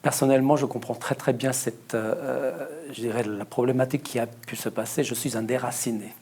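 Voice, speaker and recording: A man from Portugal taking part in a Bible study discussion, speaking thoughtfully with many pauses and fillers. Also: mid-range voice, fairly even intonation, a little mellow, slightly rough. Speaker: discussion participant.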